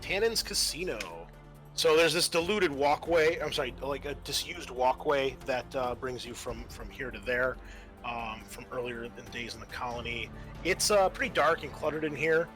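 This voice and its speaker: A man acting as game master, narrating a tabletop roleplaying game in a halting, self-correcting way. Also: mid-range voice, a bit bright, faint music behind.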